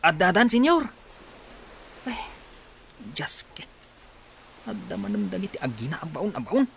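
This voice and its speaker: A man's voice: fairly high pitch, huge pitch swings, unhurried, vocal fry, a bit bright, full character voice. A man playing a hesitant, simple-minded hired man in a dramatized audio story, deferential and questioning, with stammered openings. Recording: faint background noise.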